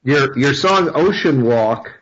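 A man hosting a radio interview, speaking haltingly with many fillers and repeated words. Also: mid-range voice, animated, fairly bright tone, slightly rough. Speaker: radio host. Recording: dull lo-fi radio sound.

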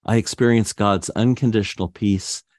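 A man reading a guided spiritual meditation in a slow, soft, soothing manner. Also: lowish voice, steady tone, no room sound, studio quality. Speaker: meditation narrator.

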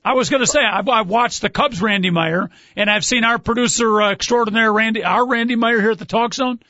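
A chatty male sports radio host with a high, light voice, rambling and teasing his on-air partner. The sound is dry and close, with no room around it. The audio is dull and muffled, like an older broadcast recording. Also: a little fast, bright, forceful tone, dry recording. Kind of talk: casual sports radio chat.

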